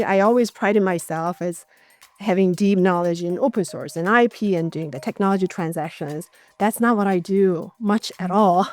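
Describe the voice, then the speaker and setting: A woman's voice: mid-range voice, a little mellow, no echo. A middle-aged woman giving candid, reflective answers in a conversational interview as a corporate executive guest.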